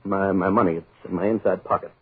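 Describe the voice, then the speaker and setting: A man's voice: low-pitched, fairly even intonation, quick pace, breathy edge. An actor playing a pompous, irritable wealthy middle-aged man who blusters, pleads and repeats himself, in 1940s American radio horror style. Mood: frightened, pleading. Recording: muffled old 1940s radio recording.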